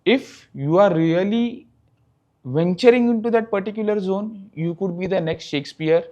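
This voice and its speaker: A young professor from India, a man with an Indian English accent and Marathi as his first language, talks with a slightly raised pitch. He explains step by step and drops a quick "okay" after his points. The recording is a bit dull and lo-fi. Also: mellow. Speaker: guest, professor. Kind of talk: interview answers.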